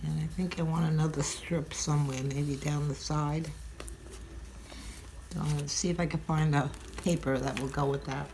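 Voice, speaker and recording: An older woman hosting a craft tutorial, chatting and thinking aloud as she works, sounding a little stuffy and hoarse from a cold. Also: deep voice, fairly even intonation, normal speed, a little mellow, rough recording. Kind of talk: relaxed craft demonstration. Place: craft table at home, indoors. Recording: audible crinkling craft noise.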